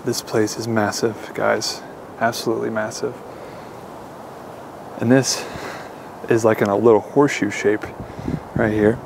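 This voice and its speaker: A man's voice: fairly low pitch, flat delivery, a little slow, creaky, pauses often. Chatty young man exploring outdoors. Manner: casual, chatty, addresses viewers as guys. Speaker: urban explorer host. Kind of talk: walking tour vlog, casual. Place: outdoors and inside an abandoned mansion. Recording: noisy outdoor camera audio.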